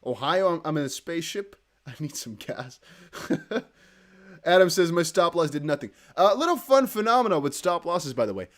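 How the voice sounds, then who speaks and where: A young man with a Dutch accent, hosting a crypto livestream in casual chat, reading viewer comments aloud. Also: a little high. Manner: chatty, animated, reads viewer comments aloud with quick laughs.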